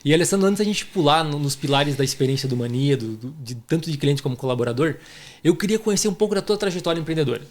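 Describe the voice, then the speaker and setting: A man's voice: on the high side, animated, quick pace. A man with a southern Brazilian gaúcho accent, hosting a podcast in an effusive, informal way and speaking affectionately to listeners. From southern Brazil (Rio Grande do Sul). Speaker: podcast host, entrepreneur.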